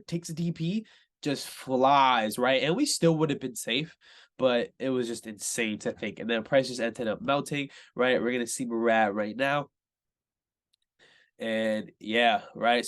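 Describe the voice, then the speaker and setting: A young man with a slightly congested voice talks through a trading chart in a loose, chatty way. He keeps checking in with "right?" and gets worked up when a setup lines up, sounding like a mentor showing his own trades. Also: medium pitch. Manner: casual, says "right?" constantly, excitable. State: a little congested. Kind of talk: screen-share chart breakdown.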